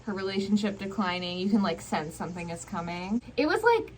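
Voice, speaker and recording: A woman's voice: mid-range voice, expressive, brisk. A young woman in a casual book chat, talking informally and hesitantly with many fillers. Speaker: book vlog co-host. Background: ambient noise, people in the distance.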